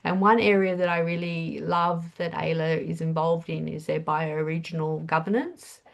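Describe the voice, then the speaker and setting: A woman's voice: low-pitched, steady tone, normal speed, creaky. An older Australian woman with a cold, sounding nasal, presenting in a chatty way. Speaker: webinar speaker. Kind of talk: casual presentation.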